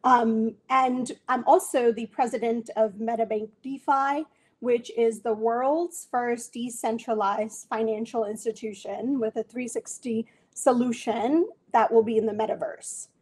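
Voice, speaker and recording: Measured woman guest with frequent "um"s. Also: fairly high pitch, steady tone, a little mellow. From Bangladesh. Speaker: guest, tech executive. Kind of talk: remote interview.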